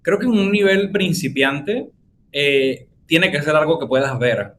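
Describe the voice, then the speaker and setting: A man's voice: on the high side, a little slow, a bit bright, a little hiss or hum. Venezuelan man explaining on a podcast. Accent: Venezuelan Spanish. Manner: explains with long examples, many fillers. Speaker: podcast host. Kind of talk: casual podcast chat.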